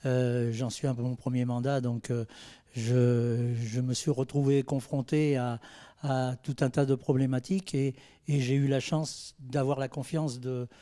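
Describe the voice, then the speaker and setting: A man speaking off the cuff as a mayor on a panel, haltingly, often repeating words as he searches for the next. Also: medium pitch, little pitch movement, warm tone. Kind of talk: spontaneous panel answer.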